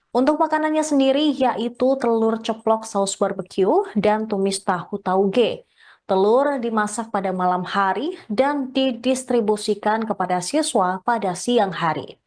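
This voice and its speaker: A woman's voice: fairly high pitch, darkish tone. A woman narrating a scripted news report as a reporter.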